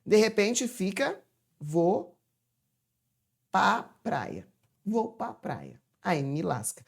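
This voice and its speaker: A woman teaching a chatty, informal grammar lesson from home, stretching words out slowly to demonstrate how they sound. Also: medium pitch, big pitch swings, creaky, slightly rough. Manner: chatty, addresses viewers as "gente". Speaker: Portuguese teacher.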